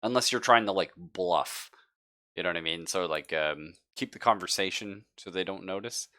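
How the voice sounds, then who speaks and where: A man's voice: deep voice, normal speed, pushed and bright, dry recording, studio quality. A man narrating a tabletop roleplaying game as game master, casual and joking, with some swearing. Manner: casual, profane, joking asides.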